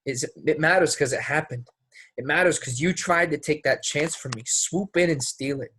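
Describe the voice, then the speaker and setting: A man's voice: on the high side, moderate pace, a bit bright, slight background noise, close to an everyday voice. A man playing a brash, resentful young actor in a play reading, speaking in a blunt, casual, clipped way. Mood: accusing, wounded. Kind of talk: play readthrough acting.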